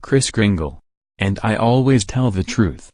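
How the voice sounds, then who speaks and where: Guy doing kindly old santa for a radio play, low voice, polite and earnest. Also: lively intonation, unhurried, a little mellow, dry recording, clean studio sound. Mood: kindly, twinkling. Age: older. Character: kindly old gentleman Santa Claus and hearty store boss. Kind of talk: radio drama acting.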